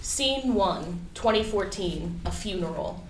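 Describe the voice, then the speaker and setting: A woman's voice: medium pitch, lively intonation, takes it slowly. A woman reading stage directions as scripted narration for a play. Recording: echoey, noisy room, distant from mic.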